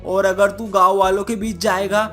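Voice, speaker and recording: A woman's voice: mid-range voice, little pitch movement, moderate pace, natural voice. A woman voicing a menacing, scolding witch mother in a cartoon story, with music behind her. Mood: anxious, tender. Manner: gloating, scolding, threatening.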